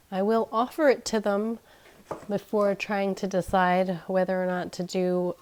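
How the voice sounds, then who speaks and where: A woman's voice: fairly low pitch, a little mellow. A woman in her thirties, nasal and crackly as she recovers from being sick, chatting casually while unboxing at home. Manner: chatty, thinking aloud, self-deprecating. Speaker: unboxing vlogger. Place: indoors at home, carpeted floor. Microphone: camera pointed down at items, speaker off-screen.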